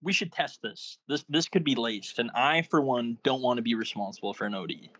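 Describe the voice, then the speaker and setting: A man's voice: mid-range voice, fairly quick, natural voice. A man playing an anxious, cautious young stoner sidekick in a comedy script read. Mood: worried. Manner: worried, animated, exclamatory. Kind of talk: comedy screenplay table read.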